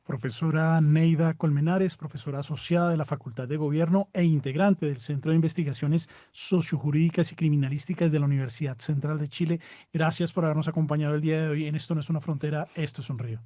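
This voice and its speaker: Brisk, mellow male programme host. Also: a little high, steady tone, no room sound, clean studio sound. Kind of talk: presenting a radio-style interview show or podcast.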